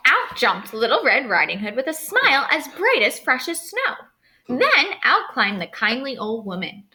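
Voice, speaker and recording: A woman narrating a children's storybook aloud as a storyteller, expressive and dramatic, stressing key words. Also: high-pitched, normal speed.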